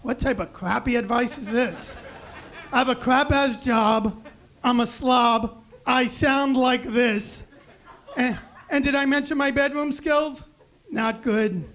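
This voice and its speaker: A young man with a high, raspy voice doing a self-deprecating deadpan stand-up set on a club stage mic. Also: slow pace. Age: young adult. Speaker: stand-up comedian. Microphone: handheld stage mic.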